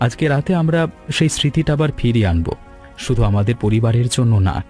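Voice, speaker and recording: A man's voice: medium pitch, lively intonation, quick pace, darkish tone, natural voice. Earnest village family man over music male actor. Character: sentimental father and grown son of a village sweet-shop family. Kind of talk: Bengali cartoon dubbing. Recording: clear music bed behind the voice.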